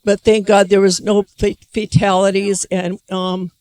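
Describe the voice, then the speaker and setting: A woman's voice: mid-range voice, level tone, measured pace, darkish tone. An older American woman giving a personal testimony at a conference, rambling and emotional with many restarts, speaking into a handheld microphone in a hall. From United States.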